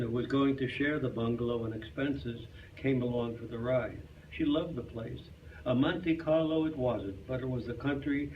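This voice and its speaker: A man's voice: mid-range voice, highs cut off. A man giving a staged reading of a humorous memoir in a club hall. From Brooklyn, New York. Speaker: reader at a community readers theater. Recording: distant mic, noisy hall sound.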